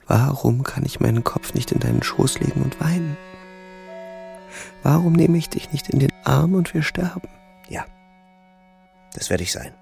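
An actor playing a weary, sensitive young soldier, narrating introspectively in a subdued, terse way in a German radio drama. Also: male voice, medium pitch, lively intonation, moderate pace, vocal fry, long pauses, darkish tone. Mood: anguished. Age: young adult. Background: music.

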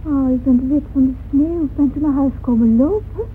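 On a thin old radio recording with faint noise, a woman plays an inquisitive child with a high voice. The questions are eager and insistent. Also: moderate pace, phone-quality sound, close to an everyday voice. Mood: curious, insistent. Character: inquisitive, excitable young child questioning mother. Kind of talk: radio drama acting.

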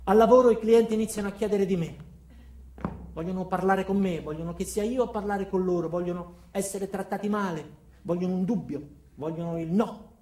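A man performing a comic first-person theatre monologue in short clipped phrases with dramatic pauses. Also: high-pitched, mellow. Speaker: stage actor performing a monologue. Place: indoor theatre. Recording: roomy, echoey stage with background noise.

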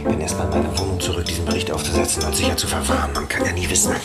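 An actor playing a nosy small-town reporter who dictates terse, often whispered notes in a radio play. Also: male voice, low-pitched, little pitch movement, very fast, natural voice. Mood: secretive. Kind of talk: radio drama acting. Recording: radio play with loud music.

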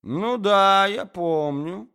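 Man doing a doddery old prince, confused, high and slow, smooth voice. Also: bright, forceful tone, full character voice. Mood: muddled. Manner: muddled, bewildered. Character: doddering forgetful old prince, frail and vague.

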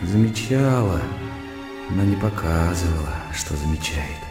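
A brooding, lovesick gentleman in a radio play, tormenting himself aloud. His lowish, creaky voice moves slowly and intensely. Long reverb surrounds it. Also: animated. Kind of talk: radio play inner monologue. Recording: echoey long reverb, music behind.

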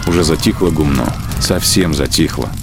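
A man narrating a literary radio drama in a measured, grave storytelling manner. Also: extremely low pitch. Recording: music bed behind the voice.

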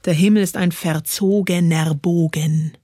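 A woman's voice: on the low side, fairly even intonation, measured pace. A woman giving a literary recitation of poetic prose, as a spoken-word performer. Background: music.

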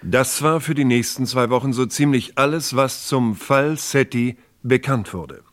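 A man acting as a factual narrator of a vintage radio crime drama. He is sober and measured, with a medium pitch and a matter-of-fact delivery. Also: close to an everyday voice.

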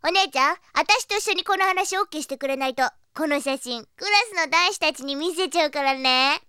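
Very high, cheeky little sister. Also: female voice, a little fast, sharp tone, no echo, clean studio sound. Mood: smug. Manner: cutesy, smug, wheedling. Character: cheeky, scheming, ambitious little-sister girl. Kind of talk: exaggerated anime comedy drama acting.

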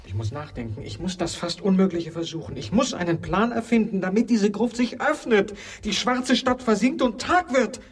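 An actor playing an anguished, tender man trapped in a dark crypt, in a theatrical and halting German radio drama style. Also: sits high, expressive, slightly rough. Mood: pleading resolve. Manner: theatrical, intense, halting delivery.

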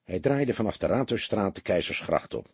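A male audiobook narrator with a very husky, breathy-rough voice. He reads scripted prose expressively, with lively swings in intonation, in a close, dry recording. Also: on the low side, moderate pace, no room sound.

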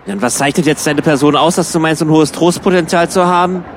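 A man's voice: on the high side, steady tone, fast, a little hiss or hum, lightly acted. A man playing a cheeky, argumentative, insistent jobless guy in casual bantering radio-play dialogue.

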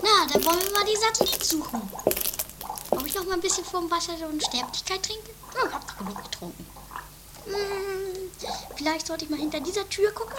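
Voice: extremely high pitch, animated, a little fast. A performer voicing Doris, a dramatic, boastful and scatterbrained woman, in an improvised trash comedy radio play. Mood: smug, scatterbrained. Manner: rambling, muddled, self-satisfied. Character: Doris, dramatic, scatterbrained, boastful sister, self-styled immortal. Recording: noisy amateur 1980s tape.

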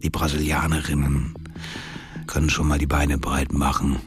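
An actor playing a crude, cynical imprisoned gangster, gloating coarsely to himself in a radio crime drama. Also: male voice, rumbling low, flat delivery, normal speed, music in the background. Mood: gloating, vulgar.

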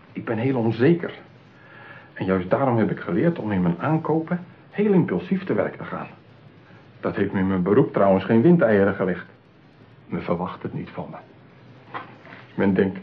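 An actor playing an impulsive, insecure yet self-satisfied man in a radio play, insistent and excitable in manner. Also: lowish voice, close to an everyday voice. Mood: confiding, boastful. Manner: insistent, excitable, swinging from boast to doubt. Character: impulsive, insecure, self-satisfied male customer seeking a bride. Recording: muffled, narrow-band radio play recording.

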